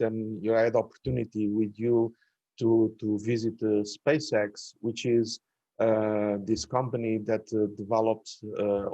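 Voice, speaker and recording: A male host guiding an interview, speaking deliberately with many pauses and "uh"s. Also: fairly low pitch, mellow, dry recording, highs cut off.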